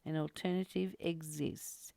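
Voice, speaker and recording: A woman's voice: sits low, steady tone, measured pace, creaky, warm tone, no room sound. A woman leading a casual, reflective spiritual discussion in a conversational way, repeating phrases for emphasis. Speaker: spiritual study host.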